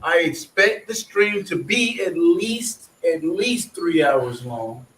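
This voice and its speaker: A man's voice: on the high side, lively intonation, slow pace, fairly bright tone, slight background noise. A man hosting a casual online group chat, rambling loosely about his plans and swearing freely. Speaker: stream host. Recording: echoey room.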